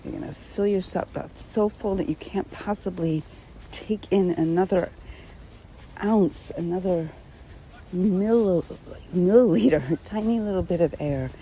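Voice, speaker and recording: Female meditation guide: deeply mellow, relaxed tone, gentle and unhurried, faint background noise. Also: rough recording. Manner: gentle, unhurried, with long pauses between short phrases. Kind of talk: guided meditation, unscripted spoken instruction.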